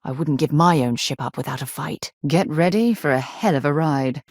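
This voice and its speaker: An actress playing an earnest, principled woman space explorer in a video game, speaking in an articulate, expressive way. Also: sits low, normal speed, dry recording, studio quality. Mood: composed, thoughtful. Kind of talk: video game character dialogue.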